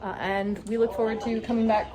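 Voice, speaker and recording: A woman's voice: a little high, flat delivery, fairly quick, low-quality recording. A woman chatting enthusiastically and casually as the host of a group recap vlog.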